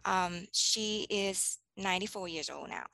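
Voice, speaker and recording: Gravelly bright-voiced woman from Thailand. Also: mid-range voice, measured pace, no echo. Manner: thoughtful, with many ums and restarts. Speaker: teacher guest. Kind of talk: interview answers.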